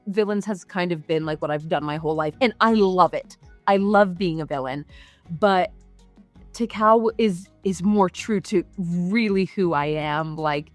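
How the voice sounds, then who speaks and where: A young woman voice actor chatting in an interview, animated and gushing, full of fillers and quick asides. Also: lowish voice, no room sound. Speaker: voice actor guest. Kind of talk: casual interview chat.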